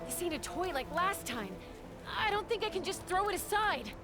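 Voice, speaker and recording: Fantasy game heroine: young, stubborn, bold, high lively voice over prominent music. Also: female voice, moderate pace. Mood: urgent. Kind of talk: dramatic video game voice acting. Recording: game stream with loud music. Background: loud game music.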